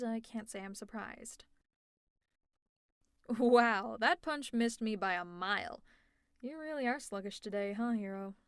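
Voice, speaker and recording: A woman's voice: on the high side, dry recording, studio quality. A woman playing a teasing, exasperated, secretly caring female supervillain in an ASMR audio roleplay, with a sarcastic, conversational delivery. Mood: teasing, concerned, then dry, concerned.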